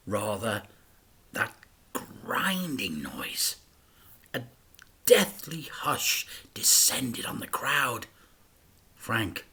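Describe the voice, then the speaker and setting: A man, a teacher, reading a children's story aloud, shifting between hushed whispers and loud acted-out crash sounds. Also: medium pitch, breathy edge. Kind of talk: dramatic storybook read-aloud.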